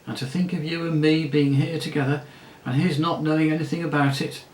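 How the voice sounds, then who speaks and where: A man voicing a loud, lazy, giggly young noblewoman who gushes in a high, shrill voice. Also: moderate pace, echoey room, close to an everyday voice, poor audio. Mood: gushing.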